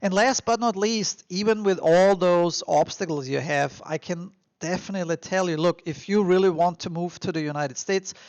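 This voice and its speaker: A man's voice: high-pitched, moderate pace, few pauses, a little mellow, dry recording, dull audio. A man with an Austrian accent hosting a podcast, giving motivational advice in an emphatic, encouraging, informal way. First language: German. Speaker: podcast and YouTube host. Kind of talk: motivational advice monologue to listeners.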